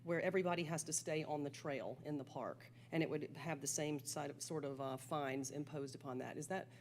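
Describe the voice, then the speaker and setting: Low-voiced woman at a council meeting. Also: fairly even intonation, fairly quick, a little mellow, a little hiss or hum. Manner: formal with casual asides, frequent ums. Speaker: city council member. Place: council chamber.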